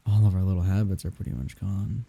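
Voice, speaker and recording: A man playing a grieving lover saying farewell at a grave in an intimate ASMR roleplay, husky and breathy, halting and tender, often slipping into whispers. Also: low-pitched, level tone, dark tone. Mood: wistful.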